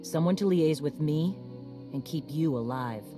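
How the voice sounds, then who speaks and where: A woman playing a gruff, commanding warrior clan leader in a video game, talking bluntly and with authority. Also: low voice, measured pace. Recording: music behind the voice.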